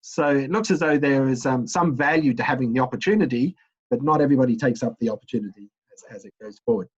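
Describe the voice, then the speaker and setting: A middle-aged man, an associate dean, interviewing a student in a relaxed way with many ums and uhs. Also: mid-range voice, fast, slightly rough, dull audio.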